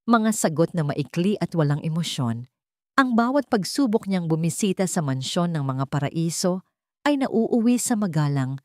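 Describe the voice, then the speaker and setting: A woman's voice: lowish voice, a little mellow, dry recording, clean studio sound. A woman with a Filipino accent reading a scripted romance drama as a storyteller, with dramatic delivery. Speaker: audio story narrator.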